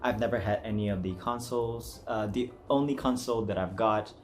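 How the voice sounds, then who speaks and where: A man's voice: on the low side, brisk, slight background noise. A man hosting a casual voice acting challenge, chatty, giggly and self-deprecating. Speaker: amateur voice acting challenge host.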